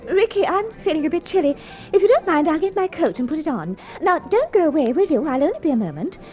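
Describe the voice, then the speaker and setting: A high, lively woman's voice from an old radio play, a well-heeled socialite with polite, plausible manners. Her pitch moves expressively through theatrical diction. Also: fast talker, dull audio, over music. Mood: coy, chatty. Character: well-to-do society woman, plausible and eager, secretly a thief. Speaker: radio drama actress. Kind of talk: Golden Age radio drama acting. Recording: old radio drama recording.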